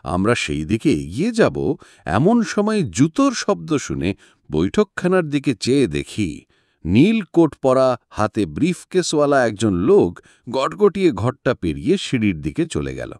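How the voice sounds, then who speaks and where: A male audiobook narrator tells a mystery tale in a mid-pitched voice. His delivery is expressive and dramatic, rising and falling widely. The recording is dry and close. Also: a little slow, dry recording.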